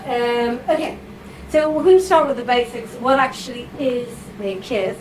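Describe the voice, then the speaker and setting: A woman with a fairly high voice talks to a live audience in a chatty, lively way. She fills her talk with asides, fillers and little "okay?" checks. Noise is clearly audible behind her. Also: normal speed, rough recording. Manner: chatty, animated, with frequent fillers and tag questions. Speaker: writer giving a reading.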